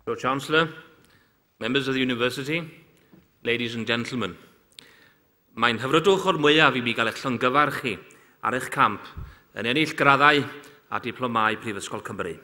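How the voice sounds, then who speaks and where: An older Welsh-accented man delivers a formal ceremonial speech at a lectern, measured and oratorical, lifting his voice on key words. His voice sits at an ordinary middle pitch. It rings out with the long reverb of a large theatre. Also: bright, forceful tone. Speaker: university official giving a graduation address. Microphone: lectern microphones.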